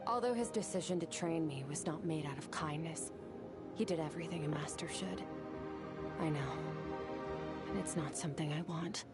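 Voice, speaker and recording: A woman voicing a reserved young swordswoman in a video game, speaking in a quiet, measured way that often drops to a half-whisper. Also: low voice, natural voice.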